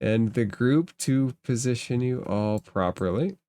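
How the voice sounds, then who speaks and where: A man narrates a tabletop fantasy game as its game master. His voice is smooth and clear, and he takes his time with formal phrasing. The sound is dry, with little room in it. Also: mid-range voice, animated, unhurried, warm tone, no echo.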